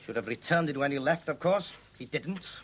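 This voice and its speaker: An actor playing a hot-tempered, stubborn farmer and nationalist militant in a 1970s radio drama. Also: male voice, on the high side, animated, normal speed, a bit bright. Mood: stubborn protest. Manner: blustering, stubborn, emphatic. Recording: hissy 1970s radio broadcast recording.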